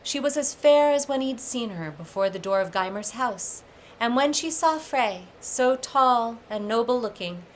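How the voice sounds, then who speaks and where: A woman narrates like a storyteller at a read-aloud, deliberate and expressive. Her pitch is middling, and clear background noise runs under the voice. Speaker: story reader for a public library.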